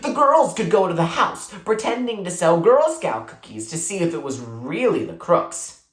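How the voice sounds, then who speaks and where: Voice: medium pitch, big pitch swings, normal speed, voice pushed up, natural voice. A reader voicing a haughty, scornful sixth-grade girl from a children's storybook. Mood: eager. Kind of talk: children's storybook character read aloud. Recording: roomy, echoing sound.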